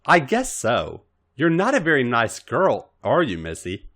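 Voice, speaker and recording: A man voicing a sweet, wide-eyed young woman in a story, with breathy exclamations and a teasing, stern edge. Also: medium pitch, wildly swooping pitch, unhurried, fairly bright tone, pitched above the performer's own voice, exaggerated voice. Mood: mock disappointed, scolding.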